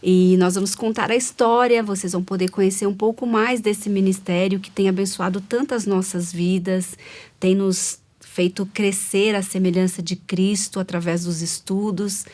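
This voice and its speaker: A woman's voice: medium pitch, fairly even intonation, normal speed. A woman hosting a faith-based women's talk show, with a voice in the middle range. She welcomes her guests and her viewers and keeps the talk moving with frequent agreeing murmurs. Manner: warm, affirming, frequent "uhum" backchannels.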